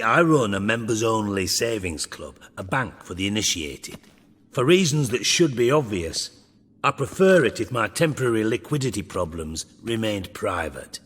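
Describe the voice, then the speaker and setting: An actor playing a menacing, blunt underworld boss with dry sarcasm in a video game. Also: male voice, lowish voice, fairly bright tone, breathy edge, big-room sound, heavily acted. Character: menacing, sardonic, foul-mouthed male underworld crime boss.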